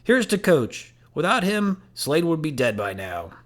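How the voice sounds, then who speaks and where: A man's voice: on the high side, big pitch swings, measured pace, a bit bright, voice pushed up. A man voicing a boisterous young male teammate who talks in a rowdy, joking way.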